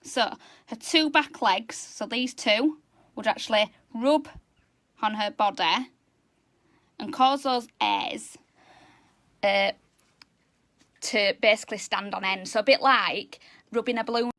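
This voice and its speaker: A woman with a loud, high-pitched voice, chatting enthusiastically as a zoo educator teaching children. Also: takes it slowly, pauses often. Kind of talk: live educational animal talk.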